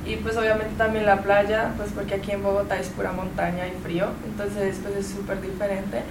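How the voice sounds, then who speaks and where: A teenage girl from the Dominican Republic, speaking calmly and chattily as a student radio guest. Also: mid-range voice, brisk, echoey room, recorded from a distance, background noise. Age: teens. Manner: calm, even, chatty.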